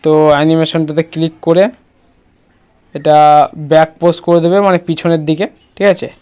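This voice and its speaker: A man's voice: fairly high pitch, little pitch movement, fairly quick, darkish tone, smooth, lo-fi sound. A man presenting a casual step-by-step screen tutorial, instructing and often checking that the listener follows.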